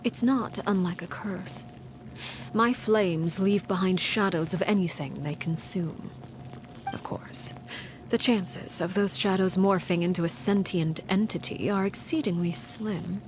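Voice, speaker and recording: An actress playing a cold, commanding woman who rules an orphan household, speaking in a low, husky, hushed and measured way in a video game. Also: background noise, close to an everyday voice. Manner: calm, measured, menacing.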